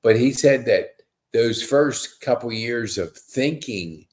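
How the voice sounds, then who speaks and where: A man's voice: medium pitch, expressive, slow pace, darkish tone. A middle-aged man hosting a casual podcast interview, effusive and rambling, with stop-and-start questions. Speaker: podcast host.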